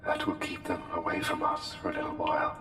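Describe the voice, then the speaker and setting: A man voicing an otherworldly, courtly plague doctor in an intimate ASMR roleplay, speaking formally and deliberately with hesitant pauses. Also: deep voice, heavily acted. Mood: slyly menacing. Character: courtly, intense male plague doctor, an otherworldly anomalous being. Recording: echoey hall reverb, music behind. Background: music.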